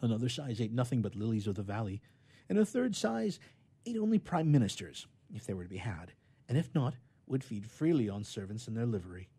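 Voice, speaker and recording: Male narrator, husky mellow tone, very varied intonation, expressive storybook reading, emphatic delivery. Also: mid-range voice, fairly quick. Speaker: reader of a children's tale.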